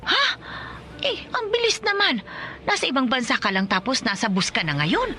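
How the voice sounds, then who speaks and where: A woman's voice: high-pitched, wildly swooping pitch, moderate pace, pushed and bright, full character voice. An actress playing a worried, loving middle-aged provincial mother in a Filipino radio drama, anxious and tender, prone to exclaiming.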